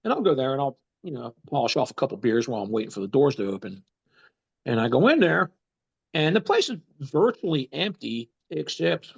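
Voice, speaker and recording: Congested, gravelly metal podcast host. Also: male voice, on the high side, lo-fi sound. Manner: chatty storyteller, many ums and you knows. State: congested, getting over a cold. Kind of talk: casual chat.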